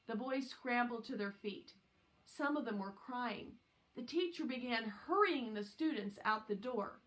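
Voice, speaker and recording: A woman's voice: on the high side, a bit bright, rough recording. A woman narrating a children's novel aloud as a scripted reading. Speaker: audiobook reader.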